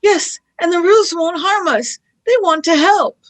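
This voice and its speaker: Woman playing an earnest, high-voiced young girl. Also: lively intonation, normal speed. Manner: earnest, pleading. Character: earnest, eager young female companion. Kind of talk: radio play acting.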